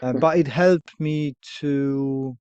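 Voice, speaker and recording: A man from Poland hosts a podcast in English, his second language, with a clear Polish accent. He speaks in a mid-range voice, hesitant and thoughtful, and often stops for fillers and a quiet laugh. The sound is dry and somewhat dull, like a lo-fi podcast recording. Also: medium pitch, steady tone, takes it slowly, no room sound. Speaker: podcast host. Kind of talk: candid casual conversation.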